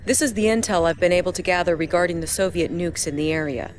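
An actress playing a composed young military intelligence officer with an American accent, giving brisk briefings in a game cutscene. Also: female voice, lowish voice, a little hiss or hum, close to an everyday voice. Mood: composed, supportive. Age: young adult.

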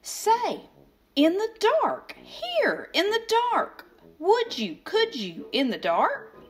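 Woman doing eager little storybook pest, cheerful, coaxing, unhurried, faint music behind. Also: extremely high pitch, wildly swooping pitch, pitched above the performer's own voice, heavily acted. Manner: coaxing, questioning. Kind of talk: expressive children's picture book read-aloud.

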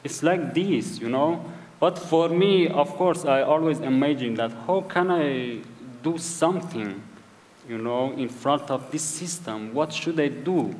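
A man with a Kurdish accent speaking English as a second language, halting and searching for words as a guest at a live event. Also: a little high, darkish tone. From Iranian Kurdistan. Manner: hesitant, searching for English words, repeats himself. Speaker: author guest. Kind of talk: conversational interview on stage. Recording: hall reverb at a live event.

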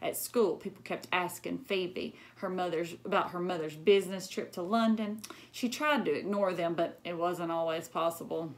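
A woman's voice: fairly low pitch, normal speed. A woman, reading a story aloud expressively to children, with chatty asides. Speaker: elementary school librarian reading aloud. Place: small lamp-lit room at home.

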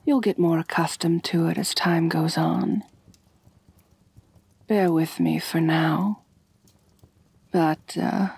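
A woman playing a refined, kind but possessive vampire mistress in a soft, intimate ASMR roleplay, gentle and doting, often near whispering. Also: lowish voice, no room sound, heavily acted. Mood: teasing, soothing. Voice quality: breathy.

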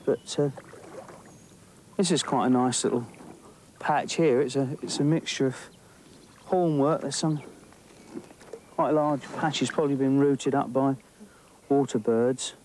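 A man's voice: medium pitch, darkish tone, slight background noise. A middle-aged man with an English accent, talking enthusiastically to camera as he fishes and explores the bank of a lake outdoors. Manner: chatty and enthusiastic, thinking aloud with small restarts. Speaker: angling presenter. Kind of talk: on-location nature commentary while fishing.

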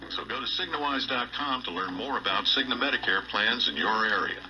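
A man's voice: mid-range voice, expressive, fairly quick, low-quality recording. A man reading a scripted advertisement, heard through an old AM radio speaker. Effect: played through an old radio speaker.

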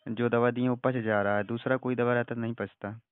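A man's voice: medium pitch, level tone, fairly quick, clean tone, no echo. Male practitioner on a phone consultation, reassuring, repetitive phrasing, thin telephone sound. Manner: reassuring, repeats words. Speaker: ayurvedic practitioner. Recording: tinny phone call recording.

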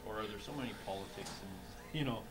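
A man asking a baseball manager questions as a sports reporter, in quick, rambling phrasing. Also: fairly low pitch. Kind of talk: interview questions.

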